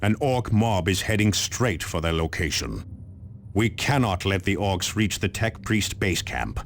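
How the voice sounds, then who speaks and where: An actor playing a grave, resolute space marine captain, solemn and commanding in a video game briefing. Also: male voice, sits low, expressive, a little slow, slight background noise. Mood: urgent.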